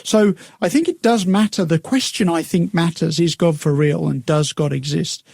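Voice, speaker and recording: A man's voice: a little high, moderate pace, gravelly, a little mellow, slightly rough, no room sound, studio quality. A man co-hosting a faith radio talk, musing aloud and reflective, with plenty of "uh" and "you know". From United Kingdom. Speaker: radio co-host.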